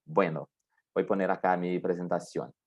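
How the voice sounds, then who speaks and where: A middle-aged Brazilian man whose first language is Portuguese, giving a guest seminar over a video call, talking slowly with many hesitant pauses. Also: low voice, steady tone, lo-fi sound. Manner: slow, hesitant, frequent drawn-out pauses. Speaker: guest lecturer. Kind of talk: academic seminar talk.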